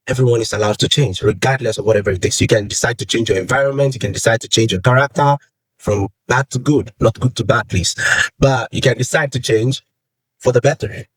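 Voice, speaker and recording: A man's voice: mid-range voice, breathy edge, dry recording, rough recording. A young man from Nigeria with a Yoruba accent, giving earnest personal advice in a vlog and repeating phrases for emphasis. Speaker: vlogger. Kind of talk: personal advice talk to camera.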